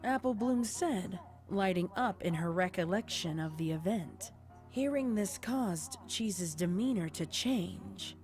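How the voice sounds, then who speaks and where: A woman's voice: mid-range voice, lively intonation, a little slow, a little mellow, faint music behind. A woman narrating a scripted story as an audio drama narrator.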